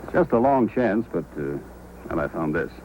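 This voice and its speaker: An actor playing a courteous, steady police sergeant, formal and measured, in an old-time radio drama. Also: male voice, deep voice. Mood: courteous, businesslike. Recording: faintly noisy old radio recording.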